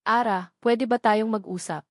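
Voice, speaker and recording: A woman voicing a worried wealthy man who speaks softly but directly in a storybook reading. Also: a little high, normal speed, dry recording, studio quality. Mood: pressing.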